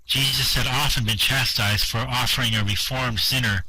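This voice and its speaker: A man narrating a first-person story in an amateur radio drama with steady prose reading. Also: mid-range voice, moderate pace, husky, dry recording, rough recording.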